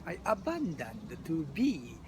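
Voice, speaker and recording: Older Japanese-accented man, video diarist, high lively voice, slow, halting; traffic noise behind. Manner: halting, many fillers and restarts. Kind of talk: unscripted video diary.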